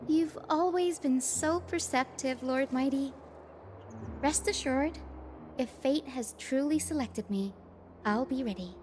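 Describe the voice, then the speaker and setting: A woman's voice: sits high, takes it slowly, background noise. A woman playing a gracious, optimistic young noble heroine in a fantasy game, speaking politely and earnestly. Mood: earnest, hopeful. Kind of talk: scripted fantasy game voice acting. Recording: music behind the voice.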